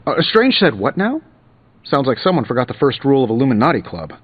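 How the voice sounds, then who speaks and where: A man's voice: mid-range voice, big pitch swings, quick pace, close to an everyday voice. A man playing a flippant armored inventor superhero in a video game, breezy and wisecracking. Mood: cocky, teasing. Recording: slightly dull audio, music behind.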